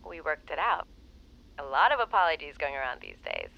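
Audio drama friend: affectionate, teasing young mother, animated swoops, dull phone-filtered sound. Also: low voice, fairly quick, vocal fry, exaggerated voice. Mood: tender.

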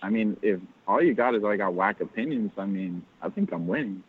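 A man's voice: fairly low pitch, animated, brisk, breathy edge. A man chatting casually as a podcast guest, joking and trailing off mid-thought. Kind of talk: casual chat. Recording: phone-like line.

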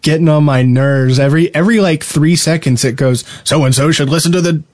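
A man's voice: fairly high pitch, brisk, a little mellow. A man co-hosting a casual gaming podcast, bantering in a wry, teasing way. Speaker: podcast co-host.

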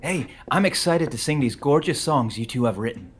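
An actor playing a naive, earnest young performer in an audio drama. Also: male voice, mid-range voice, lively intonation, normal speed, slight background noise, close to an everyday voice.

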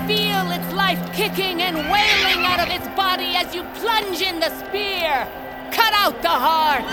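A fierce, commanding wolf queen of the plains in an audio drama. She speaks slowly in a bright, high register. Loud music and a roaring crowd swell behind her. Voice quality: commanding, proclaiming. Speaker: queen rallying hunters.

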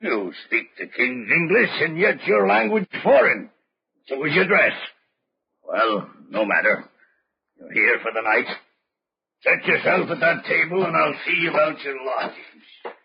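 An actor playing a gruff, blustering colonial innkeeper in a radio drama, with archaic, commanding speech. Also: male voice, fairly high pitch, expressive, a little slow, full character voice. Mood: suspicious, blustering. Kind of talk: old-time radio drama acting. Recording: dull old radio recording, faint noise.

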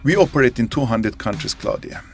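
A man being interviewed as a company executive, answering earnestly in halting phrasing with frequent fillers. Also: medium pitch, animated, gravelly, faint music behind.

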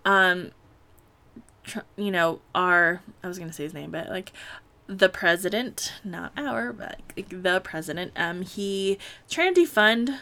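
A woman's voice: on the low side, measured pace, sharp tone. Rambling young woman podcaster, casual. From United States. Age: late 20s. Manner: rambling, laughs often, swears casually, many "like" fillers. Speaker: podcast host. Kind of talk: casual solo podcast chat.

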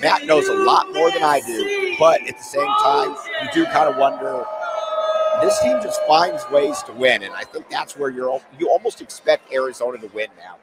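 A man with a mid-range voice hosts a casual sports podcast. His voice is hoarse and worn from days of yelling. He rambles along with easy enthusiasm. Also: medium pitch, huge pitch swings, moderate pace, heavy background noise. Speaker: podcast host.

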